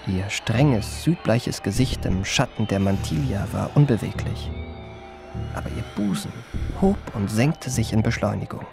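Creaky-voiced refined memoirist over music. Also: male voice, fairly low pitch, a little slow. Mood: fascinated. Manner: ornate, polished, pompous phrasing. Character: refined, self-regarding male adventurer recounting his memoirs. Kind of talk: literary radio drama narration.

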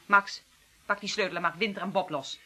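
A woman's voice: mid-range voice, steady tone, fairly quick, pushed and bright. An actress playing a cool, mocking armed woman seizing power in a radio drama, sardonic and commanding.

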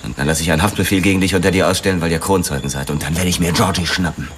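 An actor in a German radio drama playing a hard-boiled cop, questioning relentlessly with scorn. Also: male voice, low voice, very fast, a little hiss or hum, natural voice. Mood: scornful, bitter. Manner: pressing, scornful.

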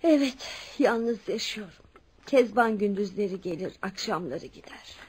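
A woman's voice: medium pitch, lively intonation, moderate pace, a little mellow, husky. An actress playing a kindly, insistent older well-off lady in a radio drama, speaking. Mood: warm hospitable. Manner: motherly, addresses others as her children. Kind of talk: Turkish radio drama acting.

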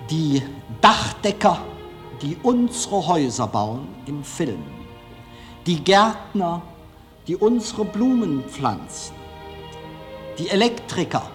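A man speaking in a reflective personal monologue, deliberate and emphatic, with long pauses. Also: a little high. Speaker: film director.

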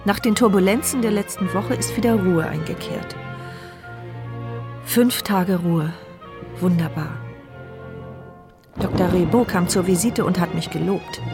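A woman's voice: lowish voice, unhurried, long pauses, music in the background. A paralyzed young woman's inner monologue. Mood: determined. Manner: intimate, reflective inner narration. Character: paralyzed mute young woman narrating inwardly. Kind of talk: radio play inner monologue.

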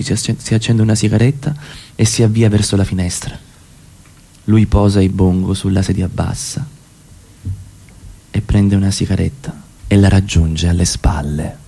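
A man's voice: fairly low pitch, gravelly, warm tone. A man narrating a radio play, reading scripted stage directions.

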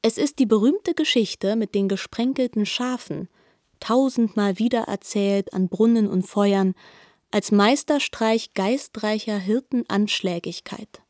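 Woman as composed storyteller, polished literary narration, mid pitch, dry close sound. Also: a little slow, darkish tone, no echo, natural voice. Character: composed female storyteller of an ancient tale.

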